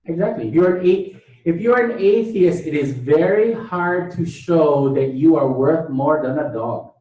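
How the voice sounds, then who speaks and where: A man from Thailand at the front of an echoey lecture room, higher-pitched, pressing his argument on students with emphatic repeats and questions. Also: expressive, unhurried, warm tone. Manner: emphatic, repeats phrases, poses rhetorical questions. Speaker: lecturer, bioethicist. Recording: echoey room, distant mic.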